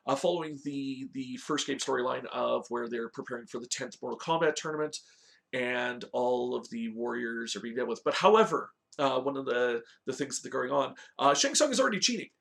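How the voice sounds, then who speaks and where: A man's voice: medium pitch, creaky, a bit bright. A man talking casually as the host of a review show, recapping in a conversational, informal way. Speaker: host of a video game movie review show.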